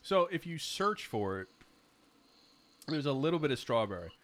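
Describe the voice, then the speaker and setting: A man's voice: fairly high pitch, very animated, moderate pace, fairly bright tone. A man chatting casually as a podcast host, with wry, teasing and sometimes profane banter. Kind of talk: casual banter, beer tasting chat.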